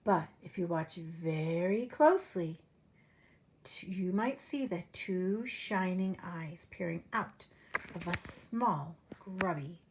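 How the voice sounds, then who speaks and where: A woman preschool teacher telling a story to young children in an expressive way, with simple phrasing and direct questions. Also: fairly low pitch, slow, slight background noise.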